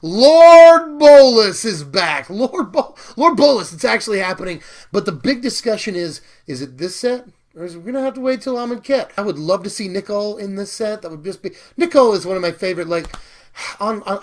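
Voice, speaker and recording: A young man with a fairly high voice gone slightly hoarse talks eagerly about new cards. He rambles casually, full of fillers and quick bursts of excitement. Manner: enthusiastic, talkative, fillers and laughs. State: hoarse, losing his voice. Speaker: card game video host.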